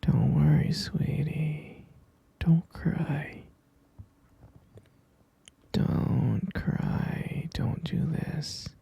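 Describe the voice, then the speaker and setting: A dark, hushed male yandere boyfriend, played by a man in an intimate ASMR roleplay, very gravelly and breathy. He coaxes slowly and possessively, doting on his captive with soft menace. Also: a little high, heavily acted. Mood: soothing, possessive. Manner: coaxing, repetitive, pet names, slow lingering delivery.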